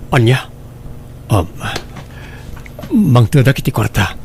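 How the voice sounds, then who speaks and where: A man's voice: medium pitch, expressive, slow, gravelly, darkish tone. Evasive Ilocano father, faint noise. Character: evasive, uneasy married father brushing off secret family. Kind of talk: radio drama acting. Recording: faint background noise and ambience.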